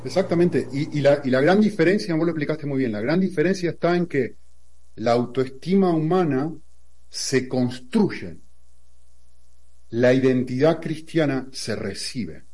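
A man with an Argentine accent teaches in a relaxed, conversational way. He leans on examples and rhetorical questions to build his point. His voice sits a little higher than most men's. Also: measured pace. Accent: Argentine Spanish.

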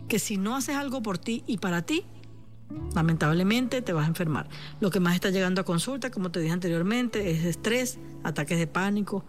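A woman with a Venezuelan accent speaking on the radio as a therapist, calm and reassuring, addressing the listener directly. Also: mid-range voice. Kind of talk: supportive radio talk.

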